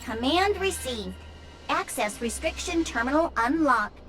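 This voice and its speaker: High, bright robot gatekeeper. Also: female voice, expressive, measured pace, soft background music. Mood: formal, neutral. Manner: clipped, formal, mechanical. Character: polite robot gatekeeper, small and formal. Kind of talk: video game voice acting.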